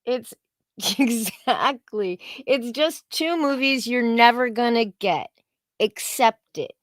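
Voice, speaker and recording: A woman's voice: fairly high pitch, lively intonation, slow pace, dry recording. A woman co-hosting a casual live-stream, giving opinionated commentary in a wry, sarcastic manner, often trailing off in fragments. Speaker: co-host of a comics commentary show.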